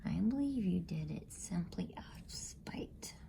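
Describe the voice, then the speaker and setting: A woman's voice: fairly low pitch, animated, a little slow, vocal fry, mellow. A woman voicing a stern, protective older brother from a storybook, scolding in a firm, reproachful whisper. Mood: angry.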